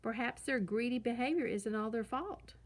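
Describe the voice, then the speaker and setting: A sensible mother bear from a children's storybook, voiced by a woman reading aloud. She explains calmly and in measured phrases, her voice a little high. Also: natural voice. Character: calm, reasonable mother bear.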